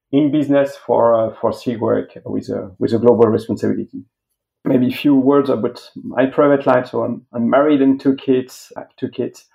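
Gravelly middle-aged Frenchman explaining business. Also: mid-range voice, mellow, dull audio. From France. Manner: halting, peppered with filler phrases. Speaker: interviewed industry expert, business executive. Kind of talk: interview, explanatory business talk.

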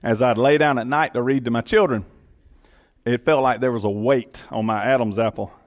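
A man's voice: mid-range voice, steady tone, normal speed. A middle-aged man with a Georgia accent, a county commission chairman, giving a personal testimony in an earnest, folksy way. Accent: Southern US, Georgia.

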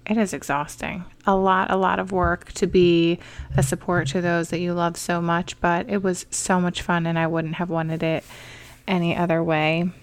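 A woman hosting a motivational podcast in an upbeat, encouraging, chatty way, her voice slightly raspy from a cold. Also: lowish voice, flat delivery, moderate pace. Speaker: podcast host.

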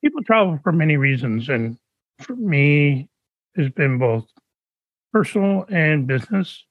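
A man hosting a casual chat, asking open questions in a measured way. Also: fairly high pitch, sing-song, dry recording, dull audio.

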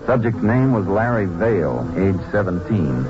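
An actor playing a calm, matter-of-fact Texas Ranger who investigates and narrates in a 1950s radio drama. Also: male voice, deep voice, moderate pace, music in the background. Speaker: lead actor and first-person narrator. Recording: muffled old radio recording.

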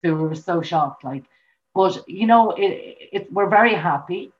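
A woman's voice: lowish voice, expressive, measured pace, dull audio, slight background noise. An Irish woman, a musician guest, chatting casually in an interview over a remote call, rambling with many repeats and fillers. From Ireland.

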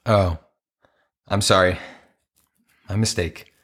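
A man's voice: low voice, steady tone, takes it slowly, fairly bright tone, exaggerated voice. An actor playing an earnest, apologetic romantic man in an audio play, with a hesitant, conversational delivery.

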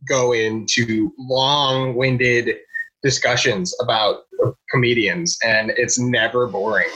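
A man's voice: mid-range voice, sharp tone, slightly rough, poor audio. A man co-hosting a podcast, rambling a little and chatting casually with his friend. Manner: rambling, self-deprecating. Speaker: podcast co-host. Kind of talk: casual banter.

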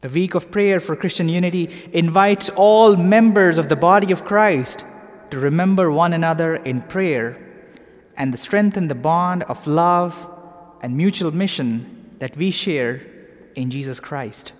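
Slow-speaking Pakistani preacher in a reverberant church. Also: male voice, fairly high pitch. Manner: measured, deliberate phrasing in short groups. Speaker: preacher, clergyman. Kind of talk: sermon, evensong meditation. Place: large cathedral, speaking to a congregation. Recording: long cathedral reverb.

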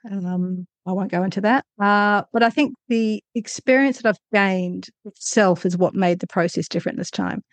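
A woman's voice: medium pitch, normal speed, hardly any pauses, dry recording. A woman answering interview questions as an author, conversational, with thoughtful and hesitant phrasing. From Australia.

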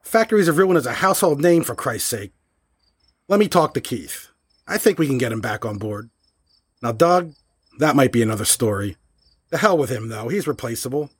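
A man's voice: mid-range voice, animated, moderate pace, breathy edge, no room sound. A man playing a restless, informal young rock musician in a horror audio drama, with an agitated edge. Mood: agitated, pleading.

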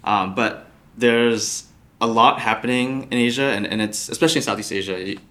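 A man's voice: medium pitch, fairly even intonation, moderate pace, fairly bright tone. A young man from the United States, a podcast guest, answering thoughtfully with many fillers and restarts. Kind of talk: podcast interview.

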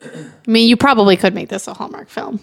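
A woman's voice: mid-range voice, brisk, creaky. A woman guesting on a podcast in casual banter, blunt, opinionated and happy to swear.

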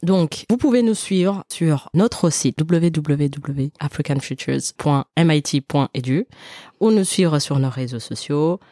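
A woman's voice: deep voice, lively intonation, a little slow, mellow, no echo, clean studio sound. A woman co-hosting a podcast whose first language is Kinyarwanda, speaking in an upbeat, playful way and laughing easily. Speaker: podcast co-host. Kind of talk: casual conversational podcast.

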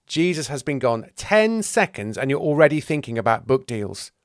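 A bluff, muddled leader of the disciples, put on by a man, talks with sardonic exasperation. The pitch is very lively and the sound is dry, like a comic Bible tale told close up. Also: normal speed, dry recording. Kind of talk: comic scripture parody reading.

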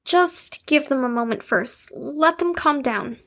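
A woman's voice: high voice, measured pace, a bit bright. A woman playing a protective, principled young female patient in an audio drama. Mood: scolding.

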